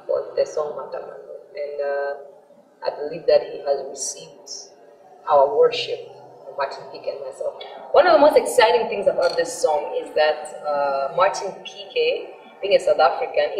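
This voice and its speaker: A woman's voice: deep voice, darkish tone. A woman from Malawi whose first language is Chichewa, a gospel singer talking earnestly and eagerly about her music, with frequent fillers. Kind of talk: promotional talk to camera. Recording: roomy sound from far off with faint music.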